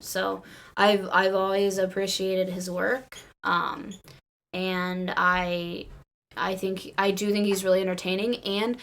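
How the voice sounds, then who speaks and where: A woman's voice: medium pitch, flat delivery, slow pace, fairly bright tone. A woman chatting casually and earnestly as a panelist on a wrestling podcast. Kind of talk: casual wrestling fan chat.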